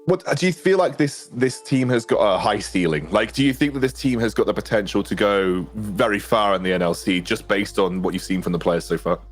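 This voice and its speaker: Fast-talking man hosting on a headset. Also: lowish voice, faint music behind. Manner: fast, chatty, filler-heavy. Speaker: esports caster and host. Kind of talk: casual esports desk chat. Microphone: headset on a webcam call.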